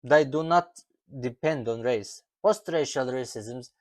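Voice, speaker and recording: An author whose first language is Arabic, presenting his book in a measured, explanatory talk in English, ticking off lists slowly with falling endings. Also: mid-range voice, no room sound, clean studio sound. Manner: deliberate, lists items slowly with falling ends.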